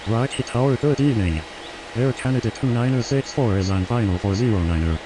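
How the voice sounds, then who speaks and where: A man's voice: medium pitch, brisk, background noise, close to an everyday voice. A man voicing a businesslike airline pilot in a flight game with clipped, scripted radio phraseology. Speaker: airline pilot on air traffic control radio.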